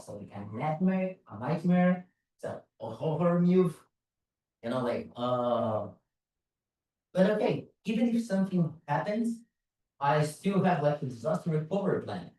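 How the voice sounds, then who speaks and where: A man's voice: mid-range voice, dark tone, far from the mic. Brazilian-accented man in an echoey hall. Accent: Brazilian Portuguese. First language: Portuguese. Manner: casual, self-deprecating, frequent "like" and "you know". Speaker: conference speaker, security consultant. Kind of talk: conference talk. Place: large conference room.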